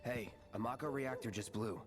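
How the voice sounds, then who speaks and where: An actor playing an aloof, guarded young mercenary in a video game, terse and serious, with loud music around him. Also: male voice, mid-range voice, moderate pace, long reverb tail, lightly acted. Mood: guarded, brooding. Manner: clipped, brooding, serious.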